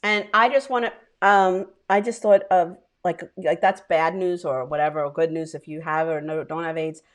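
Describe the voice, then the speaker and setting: A woman's voice: fairly low pitch, steady tone, normal speed. A middle-aged woman co-hosting a casual podcast chat, talking in a loose, rambling way after a few drinks. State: tipsy. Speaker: co-host.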